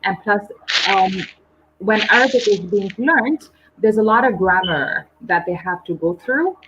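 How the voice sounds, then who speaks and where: Woman teacher on a live stream, slow careful explaining, lots of ums, kinda muffled sound. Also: mid-range voice, a bit bright, a little hiss or hum. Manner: patient, explanatory, with frequent ums. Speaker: English teacher hosting a live session. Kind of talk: live online English lesson.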